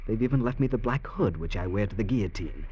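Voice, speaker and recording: An actor playing a doomed innocent convict, passionate and bitterly ironic, in theatrical old radio drama style. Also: male voice, on the low side, animated, quick pace, close to an everyday voice. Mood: bitterly wry. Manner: theatrical, swinging from hushed to impassioned. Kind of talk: 1940s-50s American radio drama acting. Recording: dull, noisy vintage radio recording.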